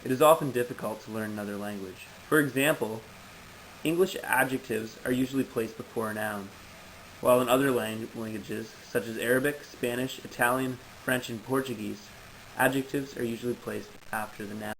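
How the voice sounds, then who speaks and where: A man's voice: mid-range voice, fairly even intonation, brisk, fairly bright tone, a little hiss or hum, poor audio. A man reading out a prepared informational presentation in a formal, textbook-like way.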